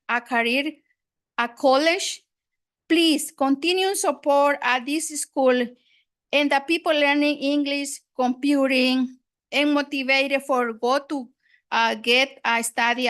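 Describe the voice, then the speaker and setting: High-voiced woman, Salvadoran Spanish accent. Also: steady tone, a bit bright. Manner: careful, halting learner's English. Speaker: public commenter, adult school student. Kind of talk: prepared public comment. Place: board meeting room.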